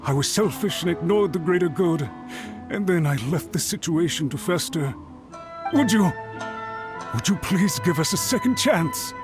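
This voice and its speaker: A man's voice: a little high, expressive, moderate pace, close to an everyday voice. An actor playing an anxious, apologetic male official who speaks with deference and pleads for a second chance, in a studio game. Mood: contrite. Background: music.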